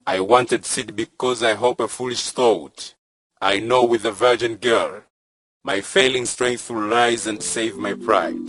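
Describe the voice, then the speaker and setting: A man's voice: fairly low pitch, fairly even intonation, unhurried, a bit bright, husky, low-quality recording. A young man acting an aging, proud and sly village chief in a stage play, speaking in stately, poetic phrases. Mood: confiding, defeated. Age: young adult. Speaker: student actor. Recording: music playing behind the voice.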